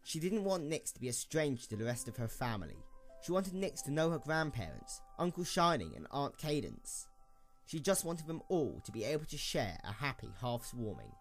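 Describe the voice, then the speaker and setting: A man's voice: mid-range voice, sing-song, moderate pace, faint music behind. A man reading scripted story narration as the narrator of an audio drama.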